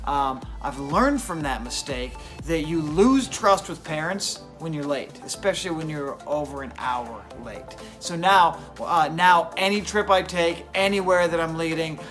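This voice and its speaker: A man of forty, a youth pastor, narrating his day in a relaxed, chatty, self-deprecating way. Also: fairly high pitch, unhurried, few pauses, over music. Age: 40s. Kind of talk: casual vlog narration.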